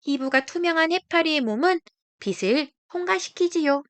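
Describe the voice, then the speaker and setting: A woman reading a children's nature picture book aloud, explaining gently to young listeners. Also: high voice, animated, normal speed, dry recording.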